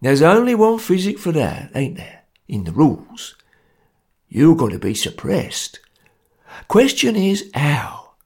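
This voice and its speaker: A grim Cockney ringleader, voiced by a male narrator, with creaky, rough tone. He bosses people sternly and menacingly in few words. The delivery suits a storybook villain. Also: on the high side, huge pitch swings, takes it slowly, pitched above the performer's own voice, full character voice. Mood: cold menace. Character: grim, domineering male ringleader of bungling conspirators.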